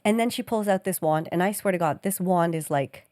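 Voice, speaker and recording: A woman co-hosting a podcast, telling an oversharing story in animated, casual chat. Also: on the low side, a little fast, dry recording, clean studio sound. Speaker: podcast co-host.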